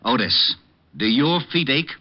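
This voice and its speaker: An actor playing an irritable, sardonic and bossy police lieutenant in an old-time radio detective drama. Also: male voice, mid-range voice, animated, unhurried. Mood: exasperated. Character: gruff, exasperated police lieutenant, sarcastic and bossy. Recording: muffled vintage radio recording.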